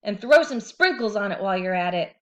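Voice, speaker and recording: A woman's voice: medium pitch, lively intonation, a little fast, close to an everyday voice. A woman voicing a brash, grumpy tooth fairy who barks orders. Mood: bossy. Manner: brassy and bossy.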